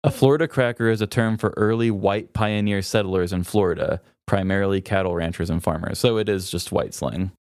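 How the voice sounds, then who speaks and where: A man's voice: sits low, fairly quick, hardly any pauses, a little mellow, dry recording, studio quality. Wry man in casual podcast banter. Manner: wry, joking. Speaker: podcast co-host.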